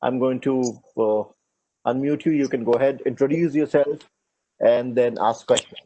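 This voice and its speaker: A man's voice: medium pitch, normal speed, warm tone, no echo, muffled recording. A man moderating an online law school talk over a video call, speaking in a courteous way with a few fillers.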